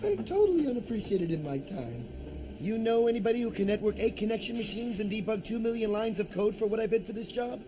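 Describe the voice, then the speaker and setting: Whiny, high-pitched computer programmer. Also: male voice, big pitch swings, fast talker. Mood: aggrieved. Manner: stammering, petulant. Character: whiny, resentful, sneaky male computer programmer.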